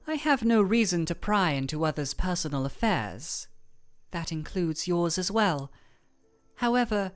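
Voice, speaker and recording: A woman playing an aloof, regal ice elemental royal in a scripted fantasy audio roleplay, speaking in a formal, measured, deadpan way. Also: medium pitch. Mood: wry, composed.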